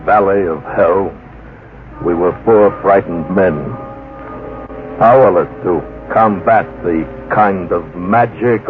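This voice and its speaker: An actor playing a grim adventurer telling of a frightening expedition in measured, dramatic old-time radio style. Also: male voice, low-pitched. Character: grim male adventurer recounting a terrifying expedition. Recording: muffled old radio recording, loud dramatic music.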